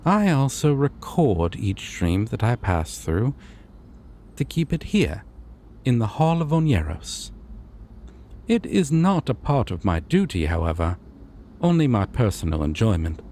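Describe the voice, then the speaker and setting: A man playing a courteous, solemn god of dreams in a fantasy audio roleplay, with formal, deliberate phrasing. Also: mid-range voice, very animated, mellow, slight background noise. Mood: calm, explanatory.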